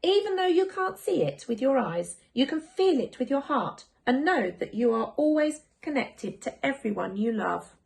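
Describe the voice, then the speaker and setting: A woman voicing a gentle, reassuring mother of young twins in a children's picture book, patient and soothing. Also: sits high, sing-song, moderate pace, gravelly, few pauses, pitched above the performer's own voice, heavily acted.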